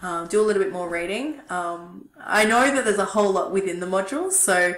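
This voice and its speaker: Hoarse, throaty woman lecturing. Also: medium pitch, brisk, roomy sound. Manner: relaxed, chatty with frequent ums. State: hoarse, losing her voice. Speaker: university lecturer. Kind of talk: recorded online lecture. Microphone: webcam at desk.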